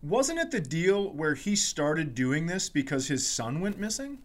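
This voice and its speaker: A man's voice: a little high, sing-song, unhurried. An American man hosting a podcast in casual chat, informal and sweary. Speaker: podcast host.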